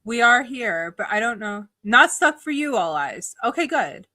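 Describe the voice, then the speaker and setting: A woman's voice: on the high side, very animated, a little slow, pushed and bright, no echo. A woman hosting a casual livestream interview in an effusive, chatty way. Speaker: host.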